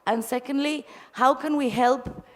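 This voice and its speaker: A woman's voice: high voice, steady tone, a little slow. Woman from Argentina in an echoey hall. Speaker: audience member putting a question to a panel. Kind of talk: spontaneous question and comment at a conference. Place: large indoor conference hall. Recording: echoey hall, long reverb.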